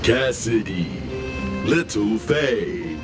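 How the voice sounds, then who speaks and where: Very slow, deep robot. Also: male voice, expressive, over loud music. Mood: homesick, plain. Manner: slow, stilted, speaks in short simple phrases. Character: big gentle homesick robot, simple-minded and childlike.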